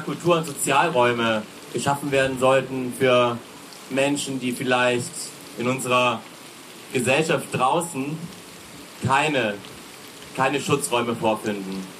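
Earnest man over rain noise. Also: mid-range voice. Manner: measured, earnest, with short pauses. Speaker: awareness team member. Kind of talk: live panel talk.

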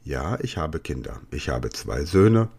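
A man's voice: extremely low pitch, unhurried, creaky, slightly rough. A native German man hosting a language podcast, explaining in English and then answering practice questions with playful asides. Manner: lively, jokey asides in answers. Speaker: language teacher and podcast host.

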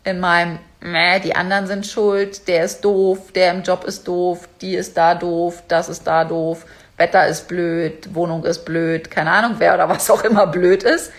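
A woman's voice: on the low side, fairly even intonation, unhurried. A woman giving a casual motivational talk straight to her viewers, chatty and direct. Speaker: life coach vlogger.

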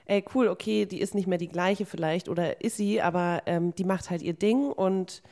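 A woman's voice: on the low side, fairly even intonation, moderate pace, warm tone. A woman co-hosting a podcast who speaks frankly and gives her friend direct advice in a casual, plain way. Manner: frank, advising, blunt phrasing. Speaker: podcast co-host. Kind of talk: casual supportive conversation.

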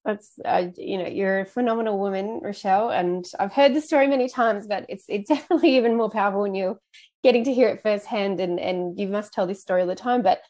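A woman's voice: mid-range voice, quick pace, a little mellow. A woman hosting an interview, who asks long questions while she searches for words, with many fillers. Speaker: interviewer, host. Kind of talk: warm interview chat.

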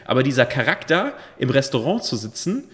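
A man in his thirties co-hosting a podcast, telling a rambling story full of fillers, his voice a bit hoarse after a night of drinking. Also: medium pitch, sing-song, fairly quick, a bit bright, long reverb tail. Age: 30s. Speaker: podcast co-host. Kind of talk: casual chat.

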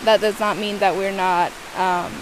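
Soft-spoken young woman, rushing water behind. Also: mid-range voice, fairly even intonation, noisy background. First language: not English. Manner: hesitant, searching for words, many restarts. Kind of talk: thoughtful interview answers.

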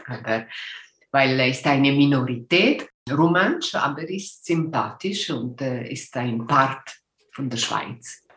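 An older woman from Ticino talks in German with a clear Italian-Swiss accent, often pausing to search for words. Her voice sits low and she speaks slowly. The recording has a roomy echo. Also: a bit bright. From Ticino, Switzerland. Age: 60s. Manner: hesitant, searching for words, frequent ums. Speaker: guest. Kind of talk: casual interview chat.

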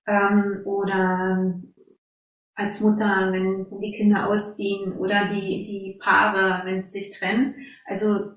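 A woman's voice: mid-range voice, completely flat delivery, slow. A woman interviewing her guest, thinking aloud in long reflective questions and backing her guest up with frequent murmurs. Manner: reflective, frequent "mhm" backchannels. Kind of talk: conversational interview. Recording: echoey room.

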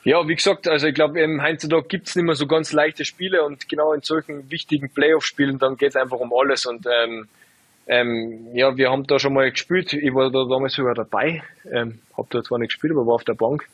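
A man in his twenties, a footballer, answering interview questions in a relaxed, halting way full of fillers. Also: mid-range voice, breathy edge, no echo. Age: 20s. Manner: hesitant, lots of fillers, relaxed. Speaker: footballer guest.

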